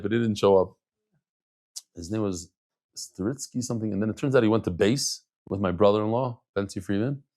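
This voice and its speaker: A middle-aged man teaches a daily Talmud class in an easy, conversational way. His voice sits mid-pitch but jumps up and down with lively swings, and he scatters Hebrew and Yiddish terms through his talk. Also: creaky. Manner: animated, chatty, mixes in Hebrew and Yiddish terms.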